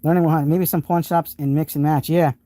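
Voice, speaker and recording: Mellow-voiced New England man, enthusiastic. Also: fairly high pitch, steady tone, a little fast, no echo. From New England, United States. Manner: enthusiastic, rambling with frequent restarts. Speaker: host of a live tool stream. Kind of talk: casual live stream, showing tools and answering chat.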